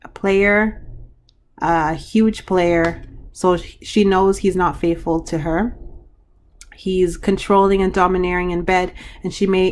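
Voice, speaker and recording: A hoarse woman giving a pick-a-card reading to her viewers, talking frankly with frequent restarts. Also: fairly low pitch, darkish tone, a little hiss or hum. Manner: frank, chatty, trailing restarts. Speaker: tarot card reader.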